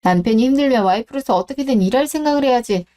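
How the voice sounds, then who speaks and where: A woman's voice: on the high side, lively intonation, very fast, warm tone, lightly acted. A woman playing a blunt, exasperated middle-aged daughter who nags and complains in a radio drama. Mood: indignant. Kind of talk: Korean radio-drama story acting.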